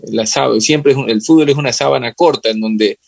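Ecuadorian man, halting and thoughtful. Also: mid-range voice, gravelly, a little mellow, dry recording. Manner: halting and thoughtful, searching for words, repeating phrases. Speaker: interview guest. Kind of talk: casual, reflective football discussion.

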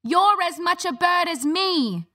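A woman's voice: lively intonation, takes it slowly, full character voice. A woman voicing a desperate, defiant young heroine who speaks urgently in a raised voice. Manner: high, urgent, shouting.

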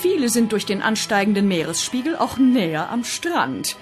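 An actress playing a commanding, matter-of-fact briefer at a heavenly agency in a comedic radio play. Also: female voice, medium pitch, sing-song, moderate pace, music in the background, lightly acted.

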